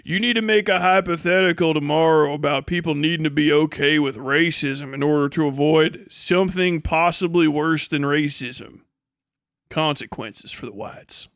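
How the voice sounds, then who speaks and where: A man's voice: fairly high pitch, moderate pace, fairly bright tone, no room sound, studio quality. A man hosting a livestream, giving casual, sarcastic and mocking reaction commentary full of swearing. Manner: sarcastic, mocking, laughs often. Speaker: livestream host.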